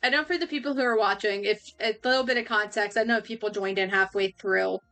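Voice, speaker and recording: Giggly, bright-voiced young woman streamer. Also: mid-range voice, fairly even intonation, fast, faint music behind. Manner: giggly, laughs often, rambling with restarts. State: losing her voice. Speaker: livestreamer. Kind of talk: casual chat.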